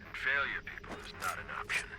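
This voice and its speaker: A man's voice: lowish voice, expressive, fairly quick, background noise. A man playing a stern, resolute military captain over a radio in a video game. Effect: radio filter.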